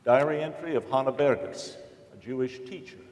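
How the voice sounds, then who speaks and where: A man acting as a grave narrator in a staged documentary reading, speaking deliberately and declaiming each phrase. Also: medium pitch, darkish tone, lightly acted. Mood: solemn. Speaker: stage reader in a dramatic reading. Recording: echoey hall with long reverb.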